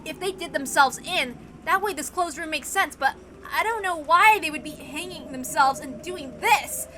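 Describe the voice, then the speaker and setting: A woman's voice: high-pitched, expressive, normal speed, pushed and bright, a little hiss or hum, pitched above the performer's own voice. A woman voicing a desperate, hot-headed young man in a visual novel reading, emphatic and emotional. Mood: agitated. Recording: background music under the reading.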